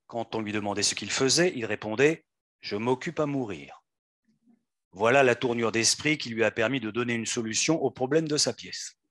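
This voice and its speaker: A man at a public event reading texts aloud into a handheld microphone in a conference room, in a measured, deliberate way. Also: mid-range voice, fairly bright tone, no echo, clean studio sound. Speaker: reader.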